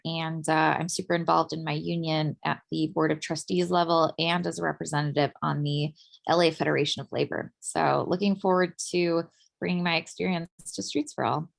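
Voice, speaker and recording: A woman with a low, gravelly voice speaks in a relaxed, easygoing way, often pausing on um and uh. She is giving a casual update to a group. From Ukraine. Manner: relaxed, many uh and um fillers. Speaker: steering committee member. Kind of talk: casual online meeting update.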